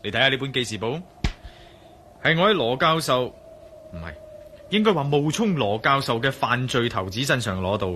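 An actor with a Hong Kong Cantonese accent playing a determined, brooding male adventurer in a radio drama, expressive and given to musing aloud. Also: medium pitch, normal speed, pauses often, a little hiss or hum. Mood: firm.

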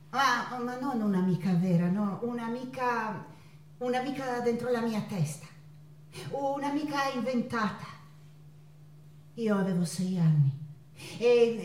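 An actress playing an intense, nostalgic woman painter in a theatrical monologue, speaking haltingly with deliberate pauses and repeated words. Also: mid-range voice, darkish tone, slight background noise, lightly acted. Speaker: stage actress. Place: theatre stage. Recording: roomy distant stage recording with guitar. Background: acoustic guitar music.

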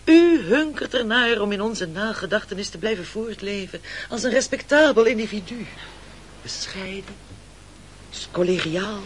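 An actor playing an unctuous, manipulative castaway orator in a radio play, grandiloquent and ingratiating. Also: male voice, high-pitched, expressive, moderate pace, a little hiss or hum. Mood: rising fervour. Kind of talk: theatrical radio play acting.

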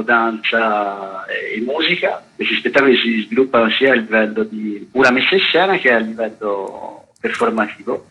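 A man's voice: lowish voice, sharp tone, husky. A man giving explanatory answers as a festival co-director in a radio interview. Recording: dull lo-fi radio interview audio.

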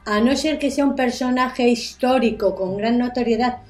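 A woman's voice: on the high side, moderate pace, a little mellow. A woman presenting her segment on a radio show in a conversational storytelling style.

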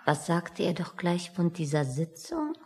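An actress in a satirical radio play playing a composed, officious woman colleague with a measured, formal manner. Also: fairly low pitch, sing-song, darkish tone, in a large hall. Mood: soothing, curious.

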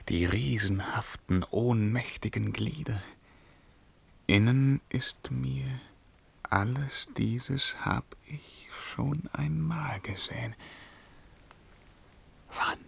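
Gravelly, intense, brooding emperor. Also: male voice, fairly low pitch, very slow, long pauses, exaggerated voice. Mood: haunted, awed. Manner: theatrical, intense, swinging between whispers and outbursts. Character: brooding, tormented emperor, passionate and haunted. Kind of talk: poetic, declamatory radio drama acting.

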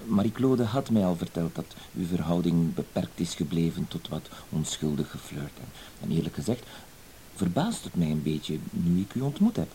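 A meek stammery husband in a radio play, lowish mellow voice, bit of tape hiss. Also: male voice, expressive, normal speed, poor audio. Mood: earnest, flustered. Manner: hesitant, stammering, polite, self-deprecating. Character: timid, apologetic, meek deceived husband. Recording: hissy old radio play recording.

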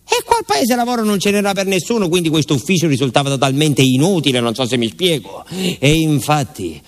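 A man performing a garrulous village postman in a radio play, with a fairly high voice and a southern Italian accent. He spins the story of his youth theatrically, breaking it up with colloquial, folksy asides. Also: animated, a little fast, close to an everyday voice. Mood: puzzled, ironic.

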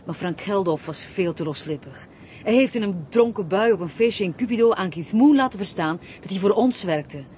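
An actress playing a guarded, composed intelligence agent with a Flemish accent, evasive and slightly condescending. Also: female voice, medium pitch, animated, normal speed, darkish tone, a little hiss or hum, natural voice. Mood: explaining. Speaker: secret service agent. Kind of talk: television crime drama. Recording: dull lo-fi TV audio with faint ambience.

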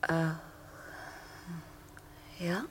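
A woman's voice: extremely slow, long reverb tail, a little hiss or hum, rough recording. An actress playing a polite, timid woman in a radio play, giving brief, hesitant and deferential replies. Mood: polite, uneasy. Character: polite, timid woman listening to her escort.

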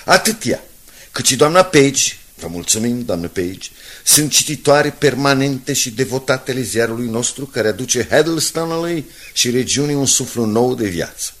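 A dry, articulate newspaperman in a radio drama. His medium-pitched voice reads aloud in a measured, orderly way. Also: expressive, a bit bright. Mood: measured, wry.

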